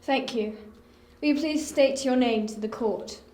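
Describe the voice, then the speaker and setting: A woman's voice: fairly high pitch, a little slow, natural voice. A woman acting a formal, courteous judge in an amateur audio drama, recorded in an echoey, noisy room. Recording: echoey, noisy, quiet and distant.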